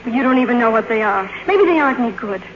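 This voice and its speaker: An actress playing an earnest, eager young studio script reader in a radio drama, plain-spoken and sincere. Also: female voice, on the high side, lively intonation, fast talker, natural voice. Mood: eager, pleading. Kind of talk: 1950s radio drama acting. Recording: noisy, dull old radio broadcast recording.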